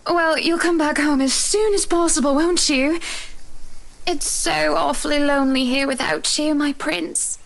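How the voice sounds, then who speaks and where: An actress playing a wealthy, clingy and haughty young socialite wife in a visual novel game. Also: female voice, sits high, a little slow, vocal fry. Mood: clingy, pleading.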